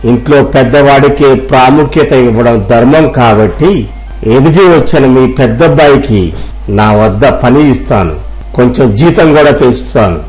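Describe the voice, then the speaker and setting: A man playing a dutiful village elder and employer with a measured, authoritative manner, in an acted moral story. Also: medium pitch, darkish tone, faint music behind, lightly acted, poor audio. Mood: consoling.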